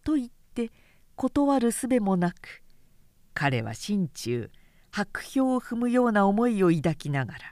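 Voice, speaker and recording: A woman narrating a literary audiobook in a measured, expressive, dramatic storytelling manner. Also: mid-range voice, dry recording, clean studio sound. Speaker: narrator, reader.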